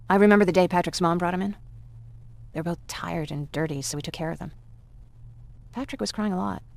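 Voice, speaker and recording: Game role: wry, wistful young female war survivor, conversational delivery, gravelly fry. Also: deep voice, darkish tone. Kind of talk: naturalistic game cutscene acting.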